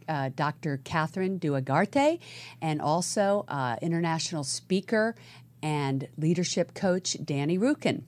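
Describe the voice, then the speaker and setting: Low-voiced upbeat woman radio host. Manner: upbeat, warm-toned interjections, frequent filler "uh". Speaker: radio talk show host. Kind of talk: talk radio interview.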